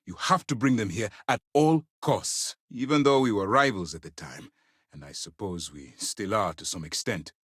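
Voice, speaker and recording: An actor playing a stern, principled space navy commander in a video game, speaking in a formal, deliberate, authoritative way. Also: male voice, lowish voice, lively intonation, a bit bright, husky, no echo, clean studio sound. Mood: measured, grave. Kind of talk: scripted dramatic game dialogue.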